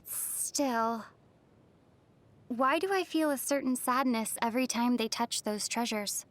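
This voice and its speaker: A woman playing a gentle young female adventurer in a video game, speaking in a soft, composed, dryly playful way. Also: on the high side, slow. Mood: wistful.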